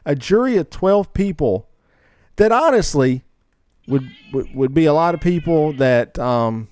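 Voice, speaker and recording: A man's voice: a little high, animated, a little slow, a little mellow, clear, resonant tone, no room sound. Male podcast co-host, casual and opinionated, rambling delivery, dry close sound.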